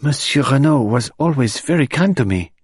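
Man voicing a shy young woman, raised pitch. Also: animated, moderate pace, slightly rough, no echo, studio quality. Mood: shy, polite. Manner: hesitant, soft, anxious. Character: shy, anxious young French woman, sweetly polite. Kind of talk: audiobook character voice.

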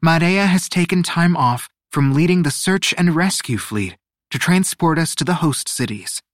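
A man performs a poised woman guide in a storybook. The voice is lifted in pitch and recorded dry, with a formal, gracious, announcing manner. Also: lively intonation, moderate pace, no echo, lightly acted.

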